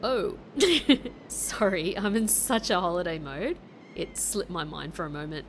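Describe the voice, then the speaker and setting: A woman's voice: on the low side, measured pace, slight background noise, low-quality recording. A woman playing a breezy, friendly Australian secret agent in an audio drama, chummy and slangy, with a playful manner. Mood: cheerful. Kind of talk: audio drama acting with narration.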